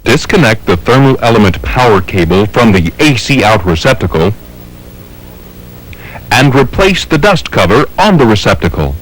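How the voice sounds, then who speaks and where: American man, low voice, lively intonation, formal instructional narration, methodical delivery. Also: normal speed, poor audio. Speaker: training film narrator.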